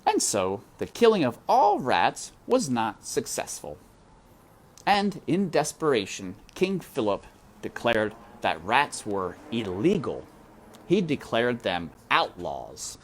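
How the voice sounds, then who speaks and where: A man reading a children's novel aloud, expressive and addressing the listener directly. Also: lowish voice. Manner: expressive, speaks to the reader directly. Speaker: reader. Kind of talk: children's book read-aloud.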